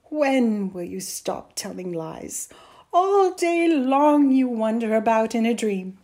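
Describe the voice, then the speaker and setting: A woman's voice: fairly high pitch, animated, moderate pace, darkish tone, pitched above the performer's own voice, lightly acted. A storyteller playing a stern, exasperated poor mother. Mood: exasperated, reproving. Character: weary, scolding, impatient poor widowed mother.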